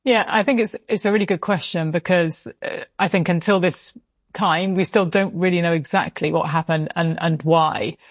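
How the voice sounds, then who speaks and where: A woman's voice: lowish voice, normal speed, no room sound. Woman correspondent, dry close sound. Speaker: foreign correspondent. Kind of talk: explanatory interview answers.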